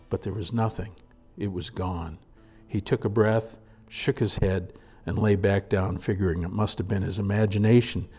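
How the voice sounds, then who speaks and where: Low-voiced man narrating a story. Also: darkish tone, slightly rough. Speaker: narrator reading own story aloud. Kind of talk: scripted audiobook narration.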